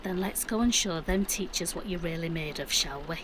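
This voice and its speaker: A woman's voice: lowish voice, moderate pace, a little hiss or hum, lightly acted, poor audio. A woman playing a plucky, wry, middle-aged British mum in an audio drama, with a colloquial, dry-humoured delivery. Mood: affectionate, determined. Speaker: actor.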